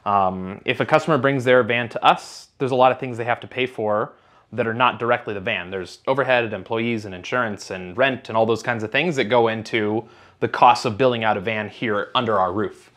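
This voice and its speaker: A man's voice: on the low side, fairly quick, no room sound. Casual man talking vans, dry sound. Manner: relaxed, casual with fillers. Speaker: van company founder. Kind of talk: interview talking to camera. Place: inside a camper van and outdoors by the van.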